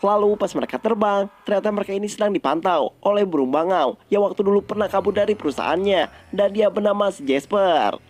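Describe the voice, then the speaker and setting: A man's voice: high voice, fairly quick, few pauses, a little mellow. A man narrates a story recap. His delivery is colloquial and conversational, like casual scripted storytelling for an online video.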